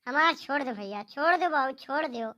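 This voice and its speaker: A woman's voice: high voice, expressive, moderate pace, pushed and bright. A woman voicing a hapless young farm son in a comic cartoon, whining and pleading repeatedly with an Awadhi accent. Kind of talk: amateur comic cartoon dialogue.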